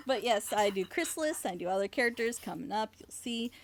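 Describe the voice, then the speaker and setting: A woman hosting a casual group reaction chat in a playful, excitable way, talking over a group call. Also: mid-range voice, normal speed. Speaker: host, co-director of a fan audio drama.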